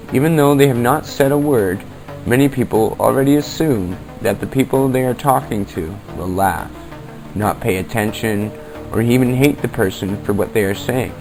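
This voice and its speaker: A man hosts an amateur podcast with scripted, explanatory narration. His voice is fairly low, and faint music plays behind him. The levels are a little uneven, as on basic equipment. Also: low-quality recording. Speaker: podcast host.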